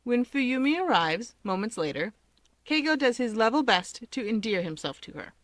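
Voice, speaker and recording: A woman's voice: fairly high pitch, very animated, normal speed, fairly bright tone, no room sound. A woman reading a story aloud as scripted narration with character dialogue. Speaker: story reader.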